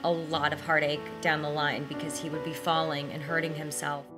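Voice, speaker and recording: A woman's voice: sits low, flat delivery, normal speed, over music. A woman in her twenties, speaking emotionally and emphatically in a documentary interview. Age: 20s.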